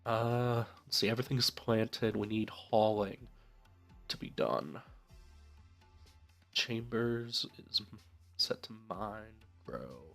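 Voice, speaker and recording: Low-voiced stuffed-up nasal man. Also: slow pace, vocal fry, long pauses, faint music behind. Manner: relaxed, thinking aloud with many fillers. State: stuffed up from a cold. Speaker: let's play host. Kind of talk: casual game commentary.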